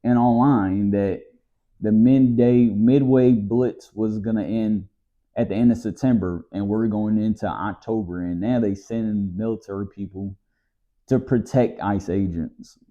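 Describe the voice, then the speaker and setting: A man's voice: fairly low pitch, fairly even intonation, unhurried. An American man with a Southern accent, ranting in a weary, exasperated way in a casual vlog monologue. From United States. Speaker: vlogger. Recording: slightly dull lo-fi sound.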